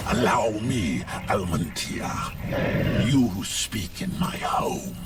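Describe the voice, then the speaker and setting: A huge, menacing dragon lord from a video game, speaks with deliberate slowness and cold command. The voice is deep and swings widely, under very loud music. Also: male voice, lively intonation, distant mic. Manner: slow, imposing, deliberate. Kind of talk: video game cutscene acting.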